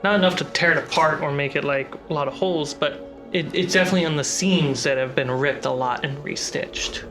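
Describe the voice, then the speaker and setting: A woman narrating a tabletop game as game master, describing scenes in short, measured phrases. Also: low-pitched, a bit bright. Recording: prominent music bed. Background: background music score.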